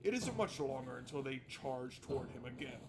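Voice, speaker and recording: A man's voice: mid-range voice, animated, fairly quick, noisy background. A man hosting a visual novel playthrough, reading narration aloud and adding casual, wry asides. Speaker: gaming video host.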